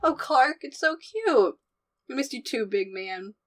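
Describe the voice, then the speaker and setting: A woman acts a caring, dramatic heroine for a radio play. The voice is high and unhurried, with clear noise and clicks in the recording. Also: lively intonation. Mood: pleased, affectionate. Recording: noisy audio with clicks.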